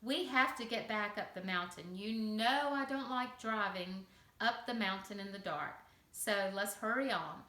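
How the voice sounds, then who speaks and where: A woman's voice: medium pitch, unhurried, sharp tone. A woman voicing a businesslike king father in a children's story, speaking plainly to his family. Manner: plain, hurrying the family along. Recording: echoey room.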